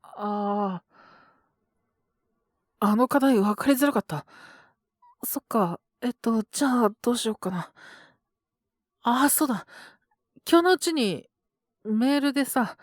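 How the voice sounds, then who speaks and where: A woman playing a sick young male schoolteacher in a Japanese audio drama, gentle and flustered, speaking hesitantly in a weak, feverish mumble. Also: fairly high pitch, no echo, studio quality. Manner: hesitant, mumbling, trailing off with many pauses. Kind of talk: Japanese boys' love situation voice drama.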